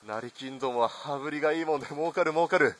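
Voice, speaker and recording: A man's voice: fairly high pitch, quick pace, lightly acted. A man playing a corrupt, callous army lieutenant colonel in a radio drama, haughty and commanding. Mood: smug, commanding.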